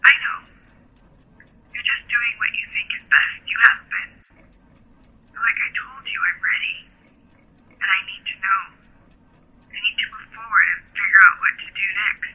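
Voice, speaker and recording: Very breathy, anxious, pleading woman , acted. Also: mid-range voice, phone-quality sound. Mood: pleading, determined. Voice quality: breathy, husky. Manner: halting, pleading, intense. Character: anxious woman pleading to learn the truth about herself. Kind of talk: audio drama dialogue.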